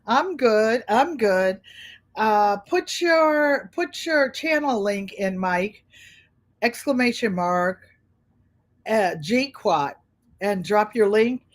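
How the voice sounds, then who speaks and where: A woman's voice: mid-range voice, expressive, slow. A middle-aged woman from Connecticut with a Connecticut accent, a quilting channel host who chats frankly and rambles while sewing. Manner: rambling, frank, talks to viewers by name. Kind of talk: chatty livestream talk while sewing.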